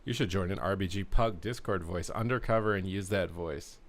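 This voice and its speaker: A man's voice: low voice, moderate pace, a little hiss or hum. A man chatting casually on a game live stream, rambling with lots of filler words.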